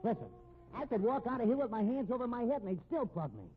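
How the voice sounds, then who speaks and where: An actor playing a desperate fugitive brother in a 1950s radio drama, with hard-boiled slangy delivery on a muffled old recording. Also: male voice, very high-pitched, very animated, fast. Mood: agitated. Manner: slangy, hard-boiled tough-guy talk. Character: desperate, cornered fugitive brother, tough small-time crook.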